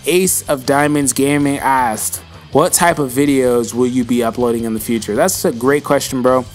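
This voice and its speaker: A man's voice: medium pitch, moderate pace, faint music behind. A young man answering viewer questions in a casual vlog, rambling and slangy and calling viewers bro, with his voice starting to go. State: losing his voice.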